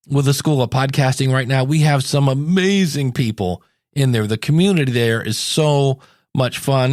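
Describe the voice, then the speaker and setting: A man's voice: mid-range voice, no echo, studio quality. A man in his fifties hosting a podcast, talking casually and candidly in an anecdotal monologue. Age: 50s. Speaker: podcast host.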